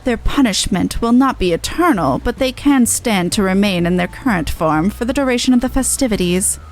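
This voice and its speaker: A woman's voice: mid-range voice, animated, moderate pace, lightly acted. A woman voicing a proud, theatrical male clown illusionist in a video game, speaking with pompous courtesy. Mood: smug, satisfied. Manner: pompous, formal, showmanlike.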